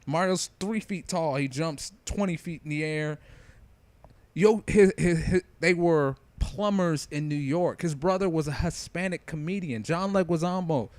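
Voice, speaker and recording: Casual man with a higher-pitched voice. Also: no echo. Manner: informal, slangy, conversational. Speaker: video creator giving commentary. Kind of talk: casual opinionated review commentary.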